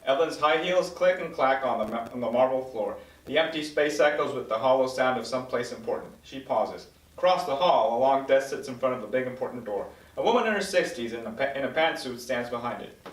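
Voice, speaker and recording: A man's voice: mid-range voice, a little fast, hardly any pauses. Man reading stage directions, echoey room. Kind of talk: scripted narration at a table read. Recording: roomy echo, distant microphone.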